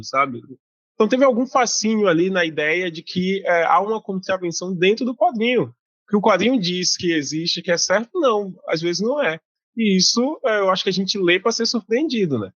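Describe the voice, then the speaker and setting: A man's voice: fairly high pitch, fairly bright tone, no echo, highs cut off. A man from Bahia, a guest author talking casually in an interview, with many fillers and restarts. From Bahia, Brazil.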